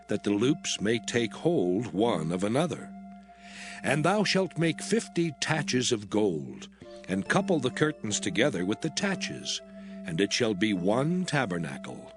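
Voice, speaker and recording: A man narrating a dramatized scripture reading in a solemn, measured, formal manner. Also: medium pitch. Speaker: audio Bible narrator. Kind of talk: dramatized scripture reading from the King James Bible. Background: background music.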